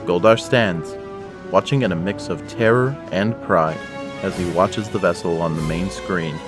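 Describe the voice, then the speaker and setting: A man's voice: deep voice, a little slow, music in the background. A man narrating an audio drama in a scripted, dramatic storytelling style.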